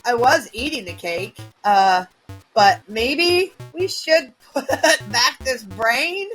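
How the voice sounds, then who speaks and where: A woman's voice: fairly high pitch, lively intonation, slow pace, fairly bright tone, soft background music, exaggerated voice, poor audio. A woman playing a cheerful, blundering nurse in a goofy cartoon fan dub. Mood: sheepish.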